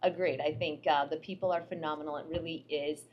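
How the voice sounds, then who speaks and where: A woman's voice: low-pitched, steady tone, fairly quick, highs cut off, background noise. A woman on a community panel, chatting in an upbeat way.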